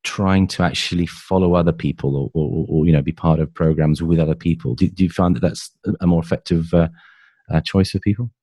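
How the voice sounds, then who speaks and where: A man's voice: deep voice, steady tone, a little fast, dark tone, husky, no room sound. A man hosting an interview, asking conversational questions with frequent stumbles and restarts. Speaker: interview host.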